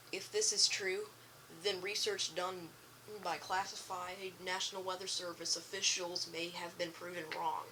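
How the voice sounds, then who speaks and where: A woman reading a scripted emergency broadcast message as an announcer, in a halting way with some stumbles. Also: lowish voice, bright, forceful tone, slight background noise, rough recording.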